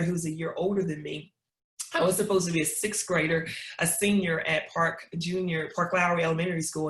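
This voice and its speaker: A middle-aged woman from Knoxville, telling stories to an audience in an emphatic, preacherly, repetitive way. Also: fairly low pitch. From Knoxville, Tennessee. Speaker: guest speaker. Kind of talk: storytelling talk. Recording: roomy echo.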